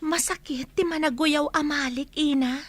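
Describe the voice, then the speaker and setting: A woman's voice: sits high, steady tone, moderate pace, fairly bright tone, no echo. A woman playing an earnest young village herbal healer in an Ilocano radio drama.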